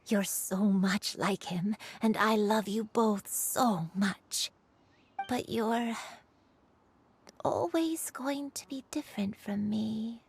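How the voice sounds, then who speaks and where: A woman voicing a gentle, frail and forgetful grandmother in a video game, speaking softly and hesitantly. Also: mid-range voice, creaky, slight background noise. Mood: tender. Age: older. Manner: soft, slow, hesitant. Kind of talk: video game cutscene dialogue. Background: game music.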